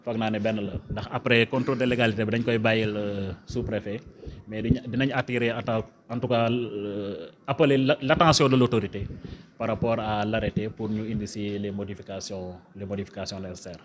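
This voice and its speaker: Senegalese official, dull lo-fi sound. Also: male voice, lowish voice, steady tone, brisk, noisy background. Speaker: government official, prefect. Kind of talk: formal official ceremony speech. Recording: dull, lo-fi event recording.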